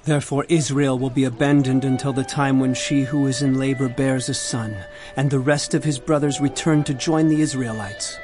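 A man's voice: medium pitch, fairly even intonation, normal speed, few pauses, natural voice. An actor playing an earnest male prophet in a dramatized audio Bible, declaiming solemnly to his people. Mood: solemn, proclaiming. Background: dramatic music.

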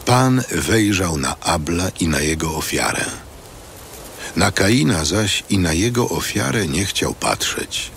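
A man narrating scripture as a solemn, measured storyteller in a scripted production. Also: low voice, slight background noise. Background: loud music.

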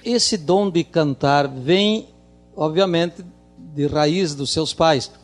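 A middle-aged man hosting a TV music show, speaking slowly and emphatically into a handheld mic on a rustic studio set. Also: a little high. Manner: slow, emphatic presenter delivery. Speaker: TV show host. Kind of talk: TV interview hosting.

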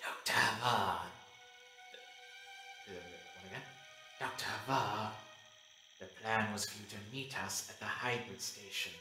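A man playing a fawning, excitable assistant to a villain in an audio drama, flustered and obsequious. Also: lowish voice, a little slow, long pauses. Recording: echoey, music underneath.

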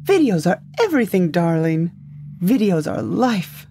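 A woman's voice: lowish voice, big pitch swings, a little slow, dark tone, faint music behind. A woman playing a secretive, breezy ex-wife in a dystopian audio drama.

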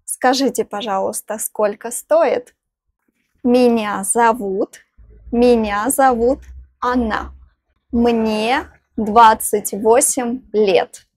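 A young woman, teaching phrases outdoors, saying each one twice, slowly and clearly, into a clip-on lapel mic. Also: a little high, steady tone, a little mellow, dry recording. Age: young adult. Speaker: language teacher. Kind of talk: slow phrase-by-phrase lesson. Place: outdoors in front of greenery.

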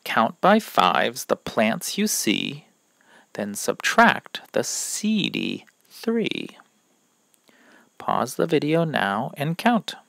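A man reading rhyming verses aloud from a children's picture book in a deliberate, expressive way, pausing between phrases. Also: mid-range voice, creaky, dry recording. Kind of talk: children's story read-aloud of rhymes.

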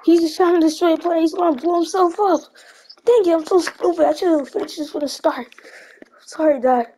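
An amateur performer voicing a desperate, self-blaming but defiant young male hero in a homemade anime fight roleplay, halting and dramatic. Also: extremely high pitch, dark tone, slight background noise. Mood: despairing. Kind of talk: amateur roleplay anime fight acting over game footage.

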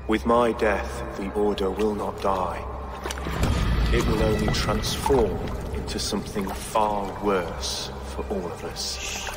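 An ominous, doomed medieval villain, deep and very slow. His portentous game-drama words echo under loud music. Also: steady tone. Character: menacing, doomed armored villain, grave and ominous. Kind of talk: dramatic video game acting. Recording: echoing reverb, very loud music.

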